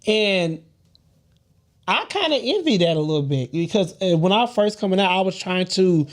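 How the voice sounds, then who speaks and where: Man, light high voice, sassy, animated, laughing, casual podcast storytelling. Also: moderate pace. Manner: animated, sassy, swears freely, laughs often. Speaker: podcast co-host. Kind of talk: casual group chat, storytelling.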